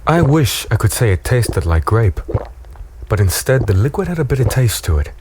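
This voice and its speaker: A man playing a battered, weary human in a dark fantasy audio drama, wry and fatalistic as he tells his story. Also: medium pitch, big pitch swings, moderate pace, noisy background. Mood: hushed recounting.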